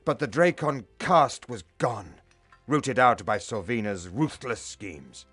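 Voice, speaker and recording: An honourable veteran commander in a fantasy game. He sounds grave and formal, yet his pitch moves a great deal. The voice is dry, over faint music. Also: lively intonation, slow pace, a bit bright, breathy edge, no echo, full character voice. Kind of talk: fantasy game cutscene acting.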